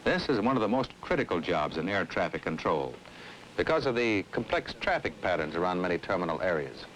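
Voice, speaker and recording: Earnest, low-voiced American government specialist. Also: male voice, big pitch swings, a bit bright, rough recording. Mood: earnest explaining. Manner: explanatory, measured, earnest. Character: affable, earnest government air traffic control specialist. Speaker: actor as air traffic specialist. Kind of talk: scripted 1960s educational film dialogue and narration. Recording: old 1960s film soundtrack, faint noise.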